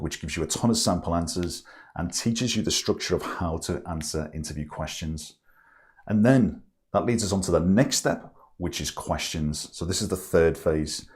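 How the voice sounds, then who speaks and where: A man's voice: sits low, darkish tone. A man presenting a step-by-step tutorial as a coach, talking directly to the listener and stressing his key points. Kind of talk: instructional talk to camera, step by step.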